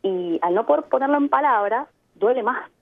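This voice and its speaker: A woman's voice: a little high, lively intonation, moderate pace, creaky. An Argentine woman from Mendoza, a guest on a radio interview, speaking thoughtfully and explaining her points, often checking in with short tag questions. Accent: Argentine Spanish. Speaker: guest, immigrant sharing experience. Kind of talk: reflective radio interview by phone. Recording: tinny phone line.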